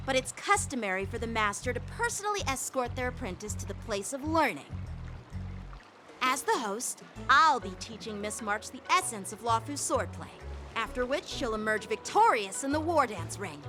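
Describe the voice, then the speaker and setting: A woman's voice: fairly high pitch, very animated. An actress voicing an earnest, bashful teenage boy swordsman in a game, speaking politely and a little formally. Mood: polite, proud. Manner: polite, formal, slightly halting. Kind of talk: game cutscene acting. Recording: prominent music behind the voice.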